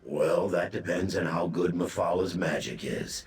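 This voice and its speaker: A man's voice: deep voice, a little slow, faint music behind. An actor playing a cocky, grumbling demon dog in a fantasy game, brash, wry and blunt in the way he talks. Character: cocky, grumbling male demon hound serving a trickster master. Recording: echoing, faint game ambience noise.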